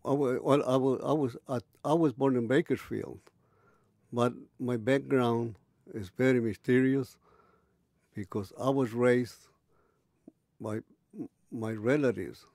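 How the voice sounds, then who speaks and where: A man in his eighties recalling his early life in an oral history interview, halting and restarting as he searches for words. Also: medium pitch, fairly even intonation, gravelly, darkish tone, dry recording, studio quality. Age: 80s. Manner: halting, many restarts and pauses.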